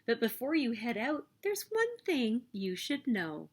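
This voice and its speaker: A woman reads a picture book aloud for young children. Her voice is fairly high and moves in big, animated swings. She takes her time and leans on key words in an expressive storytelling manner. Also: a little slow, breathy edge. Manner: expressive, emphatic storytelling with sing-song refrains. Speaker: children's story reader and host.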